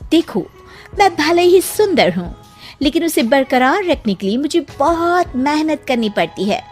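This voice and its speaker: A woman's voice: sits high, sing-song, a little slow, darkish tone, soft background music, voice pushed up. A woman voicing an earnest, glamorous film heroine in a cartoon story. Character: glamorous film heroine, earnest about her demanding beauty regime.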